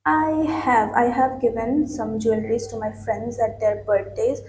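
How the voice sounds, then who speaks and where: Young woman, soft voice in an echoey room. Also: a little high, steady tone, a little slow. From Bangladesh. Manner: hesitant, many fillers and restarts. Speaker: IELTS mock test candidate. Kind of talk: answering speaking test questions. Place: small office room, indoors. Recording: echoey room with faint noise.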